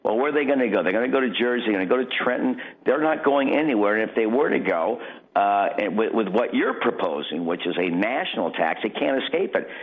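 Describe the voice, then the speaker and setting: Male candidate as radio guest, lowish voice, blunt and opinionated, heard over narrow phone-quality audio. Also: expressive, fast, hardly any pauses, breathy edge. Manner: opinionated, blunt, repeats phrases for emphasis. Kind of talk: off-the-cuff political interview. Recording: tinny, narrow phone-like line.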